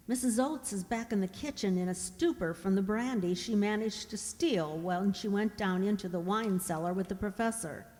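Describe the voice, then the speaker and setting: A woman playing a gentle, fearful young servant in a radio drama, voicing the inner thoughts of a mute girl in a breathy, earnest way. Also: medium pitch, natural voice. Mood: hushed, narrating. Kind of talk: radio drama acting, first-person narration. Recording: reverberant hall, music behind.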